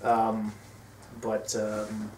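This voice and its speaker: A touring metal musician in a casual interview, wry and quick with deadpan jokes, recorded from a distance in an echoey room. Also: male voice, lowish voice. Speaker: touring guitarist. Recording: echoey room, distant mic, faint noise.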